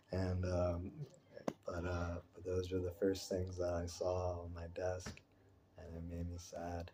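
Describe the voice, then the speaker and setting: A man recording a casual personal vlog, talking in a rambling, introspective, halting way. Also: sits low, mellow, a little hiss or hum. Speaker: vlogger.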